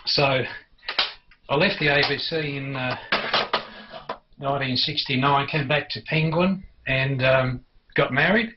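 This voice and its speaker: An older Australian man reminiscing without a script in a halting, storytelling way, as an amateur television hobbyist. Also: mid-range voice, steady tone, dull audio, noisy background. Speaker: amateur television enthusiast recounting the past. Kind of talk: unscripted personal reminiscence.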